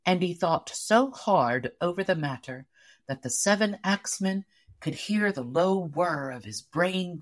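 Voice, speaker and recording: Expressive female storybook narrator. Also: on the low side. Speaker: audiobook narrator.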